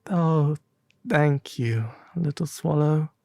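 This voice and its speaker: A performer as a sorrowful, slow-spoken storybook prince statue. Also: mid-range voice, vocal fry, dark tone, no echo, studio quality. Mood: grateful. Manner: slow, tender, pleading.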